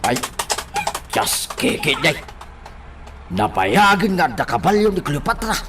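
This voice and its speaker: A man's voice: fairly high pitch, sing-song, takes it slowly, bright, forceful tone, heavily acted. A man with an Ilocano accent acting an eager, insistent farmer in a radio drama. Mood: musing. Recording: faint noise, outdoor ambience.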